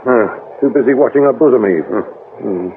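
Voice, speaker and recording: An actor playing a brusque, sarcastic British police inspector in a radio drama. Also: male voice, mid-range voice, normal speed, narrow, tinny audio, lightly acted. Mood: sardonic. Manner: brusque, sarcastic, barking orders. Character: sardonic, domineering male police detective inspector. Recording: thin band-limited radio recording with hiss.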